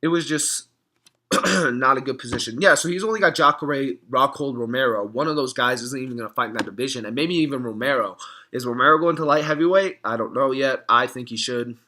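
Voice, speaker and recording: A young man with a hoarse voice, talking casually to camera in a reaction vlog, rambling, clearing his throat often. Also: medium pitch, fairly quick, sharp tone. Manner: rambling, frequent throat clearing and filler words. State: hoarse from screaming. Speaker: MMA fan vlogger.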